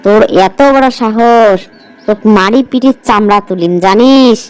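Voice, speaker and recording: A woman's voice: a little high, normal speed, clean tone, lightly acted. A woman voicing a bossy village stepmother in a cartoon story, speaking with a northern Bangladeshi accent. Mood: angry, threatening. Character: harsh, scolding village stepmother who favours her own daughter. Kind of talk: amateur cartoon story voice acting.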